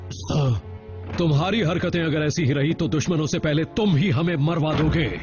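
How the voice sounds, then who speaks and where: A man playing a gruff veteran robot officer in a cartoon dub, scolding with stern sarcasm. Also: on the high side, normal speed, lightly acted. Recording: dull audio, music behind.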